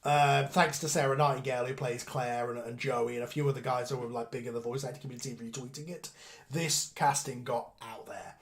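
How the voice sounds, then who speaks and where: A man's voice: mid-range voice, steady tone. Rambling man hosting a group call. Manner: rambling, quick asides and laughs. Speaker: show creator and host. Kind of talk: casual aftershow chat on a group call. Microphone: video call.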